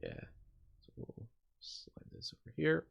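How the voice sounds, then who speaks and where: A man's voice: unhurried, very noisy. A man acting as game master, narrating casually and often repeating or rephrasing himself as he goes.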